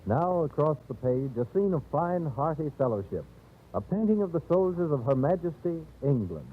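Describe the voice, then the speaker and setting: A man's voice: on the high side, big pitch swings, moderate pace, a little hiss or hum. A man narrating a scripted 1930s radio show as its host, with a theatrical, picture-painting delivery, on an old radio recording. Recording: tinny narrow-band 1930s radio recording.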